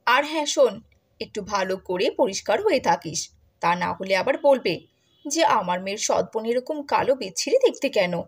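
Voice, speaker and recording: A woman playing a harsh, bossy middle-aged stepmother in a cartoon moral tale, with a nagging way of talking and a husky voice. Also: fairly high pitch, expressive, moderate pace. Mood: scolding.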